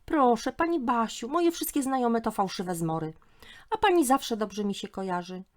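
Woman doing a chirpy high voiced lady customer, super gushy and excited, comic audio story. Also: normal speed, natural voice. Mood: effusive, pleading. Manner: chirpy, effusive, confiding. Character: gushing, excitable widowed female customer, newly engaged.

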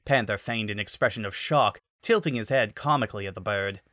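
A man's voice: lowish voice, a little fast, sharp tone, no room sound, clean studio sound. A man narrating a story as a scripted dramatic reading. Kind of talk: dramatic reading of fan fiction.

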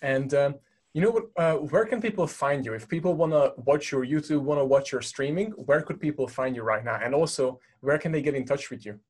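A man's voice: mid-range voice, fairly quick, a little mellow, husky. A man from Hungary with a Hungarian accent, hosting a casual podcast and thanking his guest often. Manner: friendly, effusive thanks, fillers. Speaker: podcast host.

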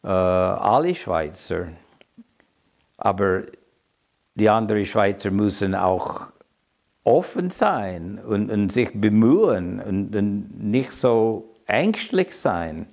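A man in his seventies with an American accent and English as his first language, giving a reflective personal account and haltingly searching for words. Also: lowish voice, animated, vocal fry, a little mellow. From United States. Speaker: interviewee telling his migration story. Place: quiet room indoors.

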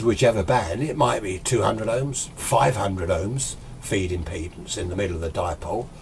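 An older British man explains things informally in a fairly low voice. He is conversational and emphatic, posing questions and answering them himself. He was recorded in a small workshop with noticeable background noise. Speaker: amateur radio enthusiast presenting a tutorial. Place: small indoor radio workshop full of equipment. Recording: clear background room noise.

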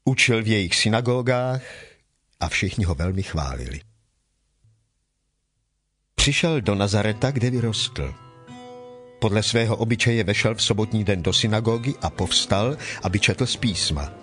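A man narrating scripture from a script in a measured, formal manner. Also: on the low side, animated, a bit bright, faint music behind.

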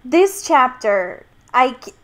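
A woman co-hosting a podcast, chatting casually about a book in an expressive, slangy way with exasperated humour. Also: high voice, takes it slowly. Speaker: podcast co-host. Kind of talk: casual book discussion chat.

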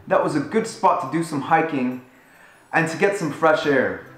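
A cheerful man in his thirties tells the story of a road trip in a relaxed, chatty way, with his voice sitting a little higher than most men's. The sound is roomy and echoey, with soft music playing underneath him. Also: normal speed. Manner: upbeat and conversational. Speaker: travel vlogger. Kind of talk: casual travel narration. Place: living room at home, indoors.

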